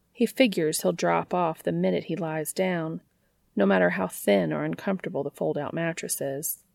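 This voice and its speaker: A woman's voice: on the low side, creaky, darkish tone, no room sound. A woman narrating a piece of written fiction in the style of an audiobook.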